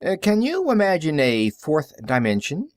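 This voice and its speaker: Man acting an intense old scientist, animated. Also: a little high, moderate pace, no room sound, studio quality, voice pushed up. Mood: excited, probing. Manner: snapping, probing, emphatic. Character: impatient old male scientist, abrupt and intense.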